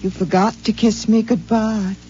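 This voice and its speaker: An actress playing a sweet, eager-to-please puppet wife in a 1950s radio drama. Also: female voice, medium pitch, little pitch movement, moderate pace, over music, lightly acted. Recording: dull old radio broadcast recording.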